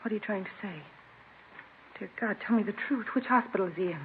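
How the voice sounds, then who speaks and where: An actress playing an anxious married church organist in a 1950s radio drama, speaking in a hurried, pleading way. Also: female voice, mid-range voice, lightly acted. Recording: narrow, hissy old radio recording.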